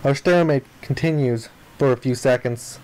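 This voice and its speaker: A man narrates the text of a visual novel for a playthrough. His voice sits at an average pitch. He reads the story aloud in a dramatic, first-person storytelling style. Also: moderate pace, darkish tone, rough recording. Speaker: let's player reading a visual novel aloud.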